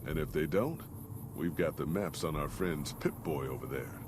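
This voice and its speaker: An older man with an American Western drawl, acting a gruff, plainspoken old caravan leader in a video game. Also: rumbling low, normal speed, background noise. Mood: exasperated, urgent. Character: gruff folksy old caravan leader, weathered male storyteller. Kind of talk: video game character dialogue and narration.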